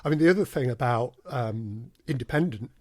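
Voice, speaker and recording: A man's voice: mid-range voice, animated, a little fast, darkish tone, no echo. A man speaking as a podcast guest and audio drama producer, chatting in a thoughtful, slightly hesitant conversational way. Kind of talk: casual interview chat.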